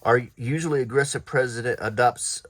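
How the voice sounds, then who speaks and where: A man reading an old newspaper aloud in a low-key, casual ASMR style, adding offhand comments as he browses. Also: fairly quick, fairly bright tone, dry recording.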